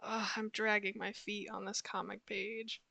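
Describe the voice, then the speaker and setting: A hoarse young woman rambling casually about her comic work on a live stream, self-deprecating and full of fillers. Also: mid-range voice, flat delivery, measured pace, pushed and bright, no echo. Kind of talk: casual rambling while drawing.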